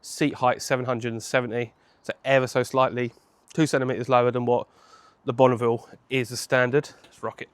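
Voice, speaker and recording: A man, hoarse from a cold, chatting casually to camera outdoors on a home patio, rambling and correcting himself as he goes. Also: medium pitch, flat delivery, fairly quick, dry recording. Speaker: motorcycle vlogger.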